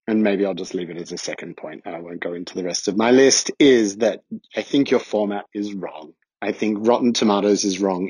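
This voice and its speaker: An Australian man leaving a rambling, excited voice message for a podcast. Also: on the low side, slightly rough, no echo, poor audio. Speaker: listener sending an audio clip. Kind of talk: recorded voice message.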